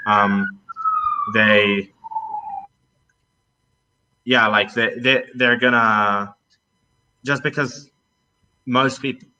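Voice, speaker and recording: A young man with a lowish voice and a bright, forward edge. He talks in a relaxed, halting way as a podcast guest, with lots of fillers and restarts. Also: poor audio. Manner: hesitant, many fillers and restarts. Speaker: podcast guest, travel video maker. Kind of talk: casual conversation.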